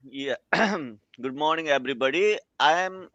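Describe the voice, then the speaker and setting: Male interpreter from Bhutan, clear and earnest, on a muffled call line. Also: fairly high pitch, expressive, unhurried, pushed and bright, no room sound. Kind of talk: answering interview questions. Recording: dull, lo-fi call audio.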